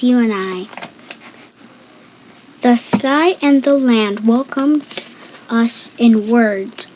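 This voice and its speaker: Woman reading a kids picture book out loud real slow, bit of noise behind her. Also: a little high, low-quality recording. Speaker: storybook reader. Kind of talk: picture book read-aloud. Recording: background noise.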